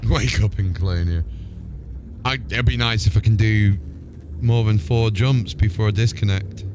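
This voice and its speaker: A man's voice: on the low side, moderate pace, over music. A man hosting a live gaming stream, talking casually as he plays and troubleshoots.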